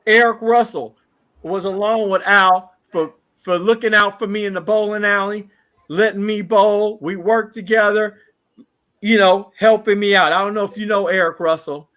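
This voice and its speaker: Fifties male guest, high-pitched, reminiscing in loose chat, listing old friends. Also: fairly even intonation, moderate pace. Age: 50s. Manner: reminiscing, rattling off shout-outs. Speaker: podcast guest. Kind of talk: casual interview chat.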